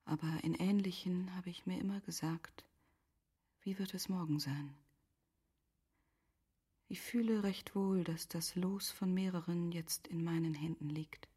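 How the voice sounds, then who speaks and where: Grieving noblewoman for radio drama: low-set, very husky, resigned, self-reproaching, measured literary diction. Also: steady tone, warm tone. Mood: resigned, composed. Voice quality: very husky, breathy, half-whispered.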